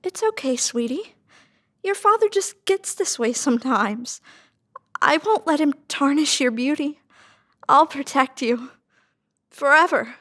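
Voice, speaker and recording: A woman's voice: high voice, expressive, measured pace, pauses often, a bit bright. Cruel smothering mother; wildly swooping, piercing delivery, abusive one moment, cooing the next. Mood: doting, possessive. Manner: swings from snarling abuse to sickly-sweet coddling. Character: cruel, unhinged, smothering mother of a frightened son. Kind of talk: dramatic table read acting.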